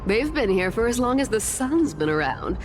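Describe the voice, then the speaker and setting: A woman voicing a sly, flippant thief in a video game, talking in a casual, teasing, expressive way. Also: on the high side, moderate pace. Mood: exasperated. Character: sly, flippant female trickster thief, defensive and sassy. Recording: background music under the voice.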